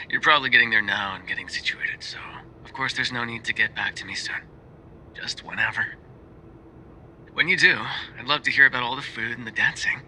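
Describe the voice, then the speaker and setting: A man's voice: lowish voice, bright, forceful tone, slight background noise, rough recording. A man playing a lovesick, jealous mafia boyfriend in an intimate ASMR roleplay monologue, conversational and sardonic, dropping into whispers. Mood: affectionate.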